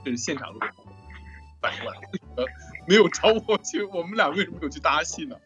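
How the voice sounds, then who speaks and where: A man's voice: on the high side, animated, quick pace, fairly bright tone, breathy edge, muffled recording. A man hosting a casual question-and-answer chat over an online voice call, often repeating and rephrasing his questions, with music behind him. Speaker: host of a cast chat about an audio drama.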